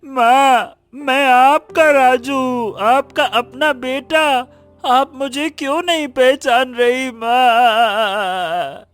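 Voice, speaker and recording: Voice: high-pitched, extremely slow, a bit bright, soft background music, exaggerated voice. A performer voicing Raju, an impulsive, emotional young son in a cartoon moral story, often repeating words. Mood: tearful, pleading. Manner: stammering, repeats words when anxious. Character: Raju, greedy boastful young man turned desperate, remorseful son.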